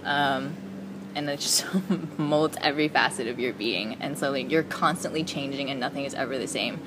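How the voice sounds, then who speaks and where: Low-voiced young woman, noisy background. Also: normal speed. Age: young adult. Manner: reflective, says like often. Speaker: university student. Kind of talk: interview answer.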